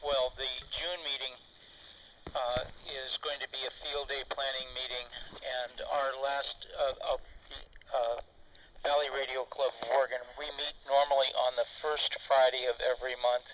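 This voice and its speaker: An American man talking casually and haltingly as an amateur radio operator over a two-way FM radio. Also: mid-range voice. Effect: two-way FM radio transmission. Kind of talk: casual ham radio conversation. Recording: dull narrow radio audio, loud static.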